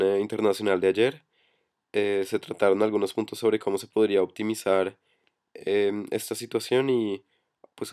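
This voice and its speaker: Man, hoarse from a cold, low monotone voice, hesitant, headset mic. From Colombia. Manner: hesitant, many fillers. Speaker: meeting coordinator. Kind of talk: online voice chat meeting. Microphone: computer headset for voice chat.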